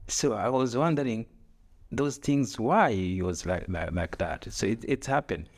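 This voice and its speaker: A man's voice: mid-range voice, measured pace. A middle-aged man with an East African accent and non-native English, talking reflectively on a podcast, repeating phrases and posing rhetorical questions. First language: not English. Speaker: podcast co-host. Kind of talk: reflective conversational podcast talk.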